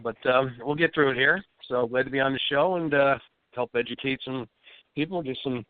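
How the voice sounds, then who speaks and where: A man with a cold and a slightly raspy voice, a self-defense instructor explaining things steadily as a guest on a radio show. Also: mid-range voice, fairly quick, no room sound. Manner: steady, explanatory, with many fillers. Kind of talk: radio interview. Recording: tinny phone-line audio, dry.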